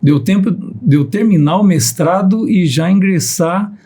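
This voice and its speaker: A man in his fifties with a Piracicaba countryside accent talks as a podcast guest. His voice sits fairly high for a man but sounds dark and mellow. It has a husky edge, and he often repeats a word before he settles into a sentence. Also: unhurried. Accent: Piracicaba countryside Brazilian Portuguese. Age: 50s. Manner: repeats words while starting sentences, steady explanatory tone. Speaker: guest scientist. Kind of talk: podcast conversation.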